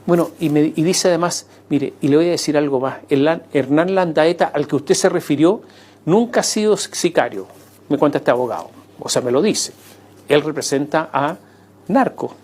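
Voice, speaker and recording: A man speaking in an interview clip, relaxed and conversational. He retells events with animation and briefly acts out the voices of the people he quotes. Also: fairly high pitch, moderate pace. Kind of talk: spoken interview answer.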